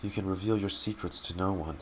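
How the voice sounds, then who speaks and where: A man who streams games, reading in-game text aloud dramatically and haltingly and muttering his reactions. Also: low-pitched, fairly even intonation, slight background noise.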